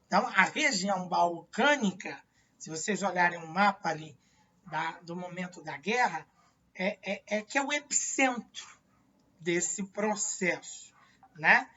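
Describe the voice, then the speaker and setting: A woman with a Brazilian accent teaching a high school history lecture, asking herself rhetorical questions and tagging sentences with "né?". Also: expressive, measured pace, a bit bright, husky. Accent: Brazilian Portuguese. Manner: many tag questions and fillers, rhetorical questions. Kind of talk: classroom lecture. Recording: distant lo-fi classroom recording.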